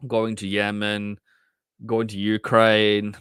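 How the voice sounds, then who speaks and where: A man's voice: fairly low pitch, monotone, takes it slowly, fairly bright tone, clean tone, dry recording, clean studio sound. A man with a Norwegian accent, hosting a live trading stream, thinking aloud with many restarts and fillers and reading out chat. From Norway. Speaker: trading livestream host. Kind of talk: casual livestream commentary.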